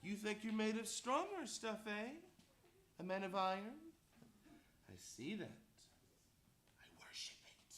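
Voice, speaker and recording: A man plays a wicked, seductive female spirit piloting a man's body in a stage drama. The high voice is slow and swooping, lascivious and theatrical, in an echoey room with loud noise. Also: big pitch swings, heavily acted. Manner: breathy-theatrical, fawning, lascivious. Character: man possessed by a lustful, wicked female ghost. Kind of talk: staged play reading.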